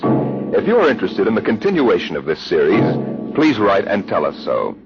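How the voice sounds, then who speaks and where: Old time radio announcer guy, formal and measured, lively intonation, muffled sound w music behind. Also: on the low side. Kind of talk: 1950s scripted radio announcing. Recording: muffled vintage radio broadcast.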